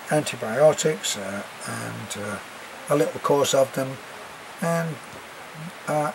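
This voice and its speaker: A man's voice: mid-range voice, background noise. Older British man with a cough. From Britain. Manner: rambling and chatty, many fillers and restarts. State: has a cough, congested. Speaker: expat vlogger. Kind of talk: casual chat to camera.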